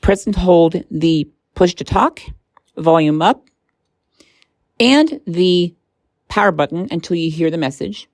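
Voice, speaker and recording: A woman's voice: fairly low pitch, animated, takes it slowly, pauses often, a little mellow, dry recording. A woman presenting a casual hands-on gadget review, informal and a little tentative as she thinks aloud.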